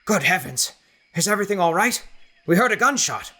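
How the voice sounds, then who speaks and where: A courteous, slightly anxious jungle explorer played by a male narrator in an audiobook. The voice sits raised and bright, with a proper and earnest delivery. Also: high-pitched, very animated, brisk, voice pushed up, exaggerated voice. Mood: alarmed. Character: polite, anxious male expedition member and guide. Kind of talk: audiobook character acting.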